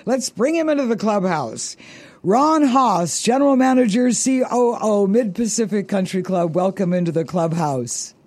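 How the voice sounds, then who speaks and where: Male radio host, high mellow voice, bubbly and chatty, stretches words. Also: animated, normal speed, no room sound. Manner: bubbly, laughs easily, stretches words for emphasis. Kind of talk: upbeat radio interview.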